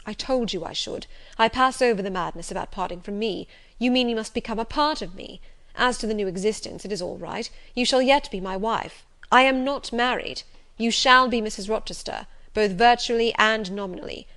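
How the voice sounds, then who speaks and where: A woman voicing a passionate, stormy middle-aged gentleman in an audiobook, speaking loudly and urgently. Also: medium pitch, close to an everyday voice. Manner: loud and impassioned, urgent. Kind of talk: audiobook reading.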